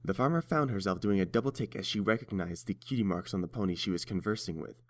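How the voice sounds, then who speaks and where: A man narrates a story from a script in a fairly low voice. The recording is dry and close. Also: fast, dry recording.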